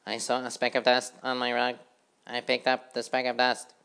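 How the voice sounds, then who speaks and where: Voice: mid-range voice, completely flat delivery, normal speed, sharp tone, clear, resonant tone, pitched above the performer's own voice. A narrator voicing a fussy housefly who sweeps the road and never wants to rest. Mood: eager. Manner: eager, fussy.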